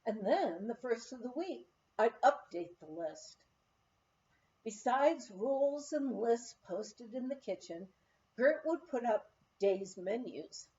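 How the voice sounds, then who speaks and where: A woman reading a humorous memoir aloud as scripted narration, in an unhurried storytelling manner, on a slightly dull recording. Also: on the high side, lively intonation, mellow. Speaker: audiobook reader.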